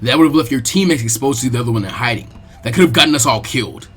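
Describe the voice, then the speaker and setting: A man voicing a stern, protective adult ninja team leader in a story reading, speaking low with a firm, wry, lecturing manner. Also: rapid-fire, soft background music, close to an everyday voice.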